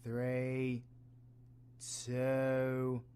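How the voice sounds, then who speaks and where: A British man playing a roguish, protective, gruff yet tender supervillain in an audio roleplay, husky and colloquial. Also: mid-range voice, completely flat delivery, very slow, dark tone. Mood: urgent, commanding. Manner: sweary, teasing, colloquial. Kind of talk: audio roleplay, villain ASMR.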